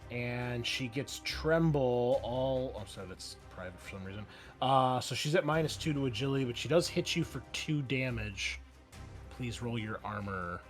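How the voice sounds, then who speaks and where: Guy being a game master, kinda halting conversational narration, medium pitch, bit of music behind him. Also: expressive. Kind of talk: improvised narration of a tabletop roleplaying game. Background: faint music.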